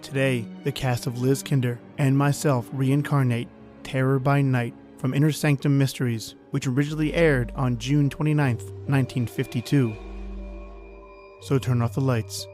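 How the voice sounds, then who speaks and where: A man's voice: medium pitch, steady tone, moderate pace, a little mellow. Theatrical male podcast host over music. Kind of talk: scripted spooky-story introduction.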